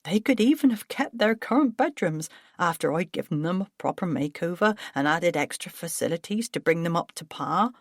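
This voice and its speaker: A woman's voice: on the low side, animated, normal speed, hardly any pauses, dry recording, studio quality. A woman voicing a flustered, self-pitying middle-aged businessman who turns defensive and agitated. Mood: exasperated. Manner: agitated, defensive, rising to outbursts.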